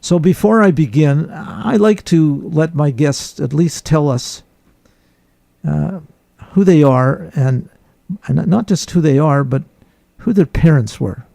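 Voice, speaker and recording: A man hosting a radio interview, welcoming listeners and guests in a formal, deliberate way. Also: on the high side, expressive, gravelly, mellow, dry recording.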